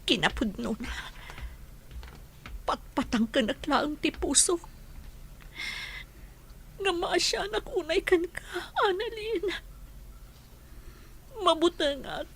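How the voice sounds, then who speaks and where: A woman's voice: very high-pitched, animated, slow, creaky, pauses often, a little hiss or hum, full character voice. A woman playing a hurt, anxious young woman in love in an Ilocano radio drama, with emotional delivery. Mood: tearful.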